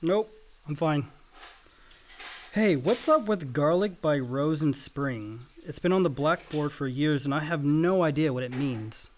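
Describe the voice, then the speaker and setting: An actor playing a caring but troubled grown son, understated and guarded, in a naturalistic radio drama. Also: male voice, fairly high pitch, unhurried, darkish tone, slight background noise, natural voice. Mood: guarded, curious. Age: young adult.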